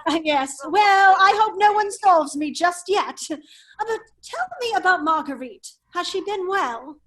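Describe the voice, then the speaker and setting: A woman playing a witty, foppish English gentleman with a secret life, in an affected drawling manner in an amateur drama reading. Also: very high voice, animated, unhurried, exaggerated voice. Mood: weary, guarded. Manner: affected, languid, drawling, exclaiming.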